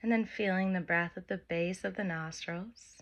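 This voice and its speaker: A woman leading a guided meditation, speaking gentle instructions in short phrases with long pauses between them. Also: lowish voice, muffled recording. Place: by the open air with wind and birds.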